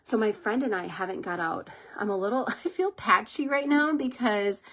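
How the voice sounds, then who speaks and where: A woman with a cold, congested and husky, chatting casually while doing her makeup on a livestream, rambling and laughing often. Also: mid-range voice, normal speed, fairly bright tone. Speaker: makeup live-stream host.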